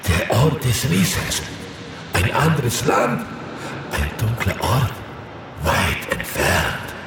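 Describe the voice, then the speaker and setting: Ein Mann spielt in einem Fantasy-Hörspiel einen Seher, der in unheilvoller Trance stockend und bedeutungsschwer Visionen verkündet. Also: male voice, lowish voice, wildly swooping pitch, vocal fry, exaggerated voice. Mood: solemn prophecy. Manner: halting, portentous fragments with dramatic outbursts. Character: male seer in a dark, ominous prophetic trance. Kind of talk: fantasy audio drama acting. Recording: cavernous echo, distant, heavy background noise.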